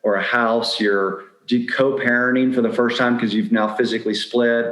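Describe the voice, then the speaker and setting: A man's voice: mid-range voice, level tone, normal speed, fairly bright tone, echoey room, poor audio. A middle-aged man speaking candidly and anecdotally as a guest in a conversational interview. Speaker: podcast guest giving dating advice.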